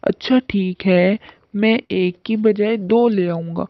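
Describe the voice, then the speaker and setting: A woman plays a sly, lovestruck male crow from a cartoon story. The crow talks slowly and coaxingly, eager to please. The sound is echoey and muffled. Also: mid-range voice, fairly even intonation. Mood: defensive, coaxing. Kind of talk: Hindi cartoon story dialogue. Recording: muffled lo-fi cartoon audio with echo.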